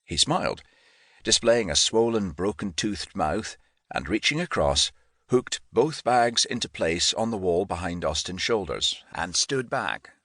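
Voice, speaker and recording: A man's voice: sits low, animated, measured pace, fairly bright tone, no room sound, clean studio sound. A man reading historical fiction as a scripted literary audiobook narration.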